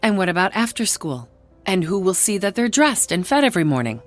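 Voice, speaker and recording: An actress playing an overbearing, disapproving aunt with an insistent, haughty manner in a radio drama. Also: female voice, mid-range voice, big pitch swings, brisk, lightly acted. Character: overbearing, disapproving aunt fussing over bereaved children. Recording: studio radio play with music underneath.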